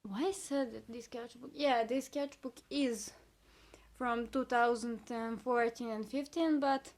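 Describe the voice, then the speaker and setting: A woman's voice: on the high side, fairly even intonation, measured pace, darkish tone. Serbian-accented young woman chatting casually. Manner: hesitant, many fillers, self-critical asides. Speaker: artist showing her sketchbook. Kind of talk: casual sketchbook tour chat.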